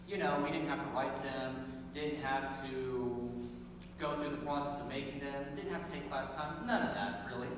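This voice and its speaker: A teenage boy with a slightly high voice delivers an informal student monologue. His delivery halts now and then. He is heard from far off in a very echoey, noisy hall. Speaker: high school theater student. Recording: echoey hall, distant mic, clear background noise.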